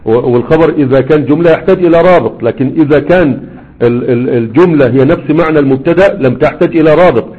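A man's voice: a little high, racing, slightly rough. A man with an Egyptian Arabic accent giving a grammar lesson, explaining over and over and quizzing his students with rhetorical questions. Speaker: grammar teacher. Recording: tinny phone-like audio.

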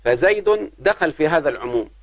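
A man's voice: on the high side, lively intonation, no room sound. Man teaching Arabic grammar. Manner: patient, addresses students as "my children", slows to stress examples. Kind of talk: grammar lesson explaining verse.

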